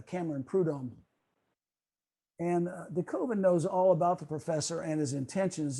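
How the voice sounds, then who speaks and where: A man giving a prepared, explanatory lecture in a measured way on a video call. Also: on the high side, mellow, husky. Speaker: presenter.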